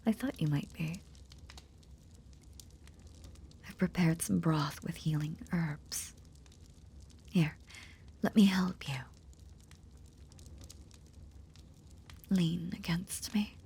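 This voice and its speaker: A woman playing a possessive lamia in an intimate roleplay audio drama, tenderly nursing in a breathy, half-whispered, seductive murmur with veiled menace. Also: on the low side, mellow, a little hiss or hum. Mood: gentle, doting. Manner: slow, soothing, seductive murmur.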